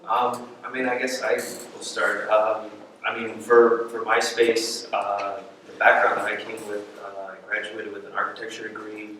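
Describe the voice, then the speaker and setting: Guy on a panel, low flat voice, lots of ums, sounds far away in an echoey room w/ some noise. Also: measured pace, few pauses. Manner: plain, hesitant, lots of um and uh. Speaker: artist and frame shop owner, panelist. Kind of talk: casual panel talk. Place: large event hall.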